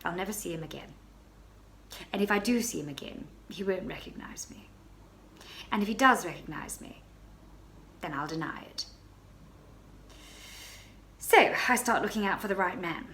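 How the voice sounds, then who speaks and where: A woman's voice: medium pitch, brisk, hardly any pauses, fairly bright tone, slight background noise, natural voice. A woman voicing a flustered young married baroness who confides her misadventure, stammering and breathless. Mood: confiding.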